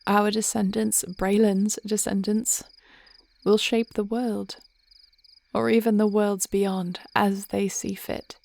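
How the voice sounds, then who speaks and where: Woman acting a creaky-voiced, thoughtful fantasy dragon queen. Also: medium pitch, level tone, darkish tone. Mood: musing, hopeful. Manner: measured, reflective, tender, formal phrasing. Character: thoughtful, devoted dragon queen wife planning the future. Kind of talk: intimate fantasy audio roleplay acting.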